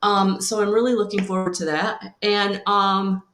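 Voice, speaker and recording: A woman oracle card reader chatting casually on a live stream, her voice deeper than usual and congested from a cold, rambling with many ums. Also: level tone, unhurried, fairly bright tone, roomy sound, slight background noise. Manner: rambling, many ums, greets viewers by name.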